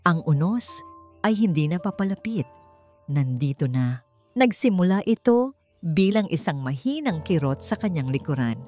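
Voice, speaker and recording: A woman with a low voice narrates a scripted drama as a storyteller. Faint music plays behind her. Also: sing-song, normal speed, darkish tone.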